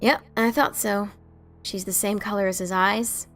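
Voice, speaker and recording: A woman's voice: medium pitch, fairly even intonation, measured pace, fairly bright tone. A woman voicing a brash, teasing adventurer pony mare in a cartoon fan story reading. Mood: needling.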